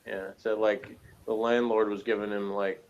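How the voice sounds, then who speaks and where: A man's voice: on the low side, level tone, normal speed, darkish tone. A man with an American accent chatting casually and ramblingly as a panelist. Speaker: podcast panelist. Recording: dull lo-fi audio.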